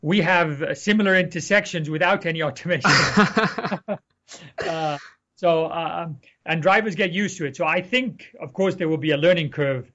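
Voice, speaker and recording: A man's voice: on the high side, moderate pace, sharp tone. A man from Egypt, a guest on an interview podcast, explains carefully and restarts his phrases. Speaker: guest researcher. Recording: dull lo-fi sound.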